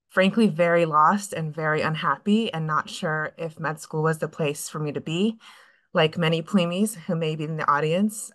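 A woman's voice: low-pitched, fairly bright tone. A woman in her thirties from California, an obstetrician, introducing herself candidly over a video call from a clinic room. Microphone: computer webcam on video call.

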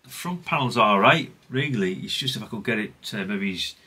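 A man's voice: lowish voice, expressive, brisk, pushed and bright, breathy edge. A middle-aged British man giving casual, unscripted workbench commentary in a rambling, chatty, plain-spoken way. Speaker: hobbyist radio repair vlogger.

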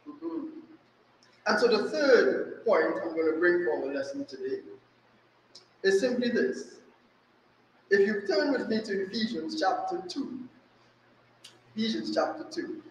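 A man's voice: very high voice, a bit bright, slight background noise. A middle-aged man with a Trinidadian accent preaching a sermon at a lectern stand mic, emphatic, with rhetorical questions and repetition. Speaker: resident preacher. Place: small church room, indoors. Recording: very echoey room.

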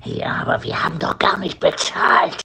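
A man playing a small, cheeky bird with a big ego in a comic audio drama, creaky, bickering and whiny. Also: normal speed, sharp tone, whispered. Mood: nervous, whiny. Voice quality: creaky, gravelly.

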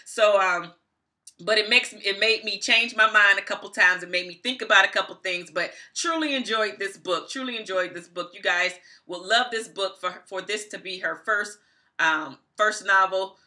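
A woman's voice: medium pitch, moderate pace, pushed and bright. A middle-aged woman giving a casual review to camera, stressing her points emphatically and repeating phrases. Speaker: book reviewer, vlogger. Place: small indoor room at home.

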